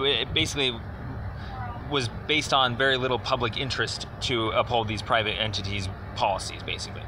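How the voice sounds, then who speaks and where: A man speaking as a caller making an informal inquiry, explaining at length in a halting, rambling, wordy way. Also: mid-range voice. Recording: noisy phone call with outdoor traffic.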